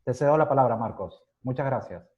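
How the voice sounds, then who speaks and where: Male moderator, formal and polite, mid-pitched voice, dull muffled audio. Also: lively intonation, a little fast. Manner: polite, formal, some fillers. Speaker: webinar moderator. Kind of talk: formal introduction.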